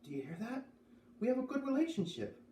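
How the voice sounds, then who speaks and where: A man's voice: extremely high pitch, sing-song, quick pace, dark tone, pitched above the performer's own voice. A man voicing an earnest, unsure young farm girl in a storybook, hesitant, eager and polite. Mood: pleased. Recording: roomy, distant mic, faint noise.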